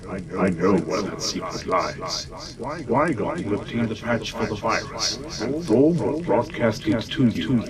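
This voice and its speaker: A man playing an earnest, calm alien Jedi general in a comic dub, slightly halting, over loud music. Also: fairly low pitch, over a noisy crowd. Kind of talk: comic dub character acting.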